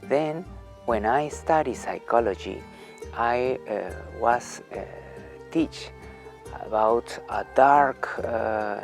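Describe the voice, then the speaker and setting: Slow, halting man. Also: fairly low pitch. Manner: halting, with frequent uh pauses. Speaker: psychology professor. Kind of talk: recorded lecture for students. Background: faint music.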